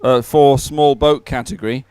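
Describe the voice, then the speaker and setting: A man giving live sports commentary in a chatty, somewhat halting announcing style. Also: medium pitch, fairly even intonation, a little mellow, clean tone.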